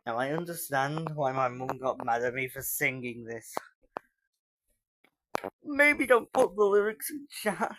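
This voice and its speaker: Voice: mid-range voice, lively intonation, measured pace, long pauses, fairly bright tone, slight background noise, low-quality recording. A teenage live streamer with a British accent from Manchester, rambling casually and laughing often while reacting to videos. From England.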